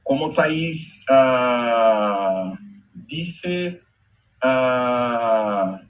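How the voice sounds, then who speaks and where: Brazilian guy on a zoom call, slow careful portuñol, lots of uh pauses. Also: mid-range voice, expressive, poor audio. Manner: slow, careful, halting Portuguese-Spanish mix with many pauses. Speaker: invited speaker, educator. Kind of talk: panel talk on a video call. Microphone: video call.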